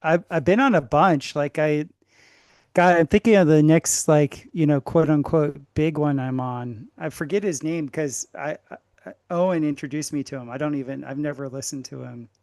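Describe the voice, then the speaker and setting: Guy podcast guest, kinda high voice, animated casual talk, restarts a lot, dry audio. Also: moderate pace, dry recording. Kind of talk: casual chat.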